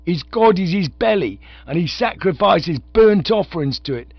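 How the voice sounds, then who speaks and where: A man voicing a bearded street-corner agitator who rants and shouts scornful abuse at passers-by. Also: high voice, animated, moderate pace, dry recording, soft background music, pitched above the performer's own voice. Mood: ranting. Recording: dull lo-fi dry recording.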